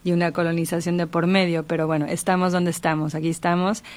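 Casting: middle-aged Mexican woman, slightly low register, articulate writer in a thoughtful interview. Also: level tone, quick pace. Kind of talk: reflective literary conversation.

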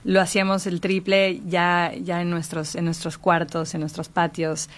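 A woman's voice: fairly low pitch, monotone, moderate pace. A middle-aged Mexican woman speaking as a guest in a reflective literary conversation, articulate and thoughtful. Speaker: interviewee, writer.

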